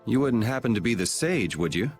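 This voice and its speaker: Young swordsman hero: lowish, casual, wry, determined, anime game dub. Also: very animated, brisk, soft background music. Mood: dry, casual. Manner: casual, dry. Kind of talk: anime-style game voice acting.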